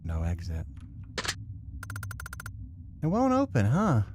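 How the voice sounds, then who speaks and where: A man's voice: mid-range voice, wildly swooping pitch, takes it slowly, a little mellow, slight background noise. A man doing casual let's play commentary, muttering sweary asides and reading bits of game text aloud to himself. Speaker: gaming streamer.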